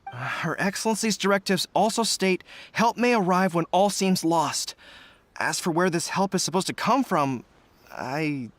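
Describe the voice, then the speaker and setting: An actor playing an earnest, dutiful young army general in a video game, speaking in a formal military briefing manner. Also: male voice, sits high, normal speed, a bit bright, a little hiss or hum. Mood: hesitant. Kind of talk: English video game voice acting. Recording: music behind the voice.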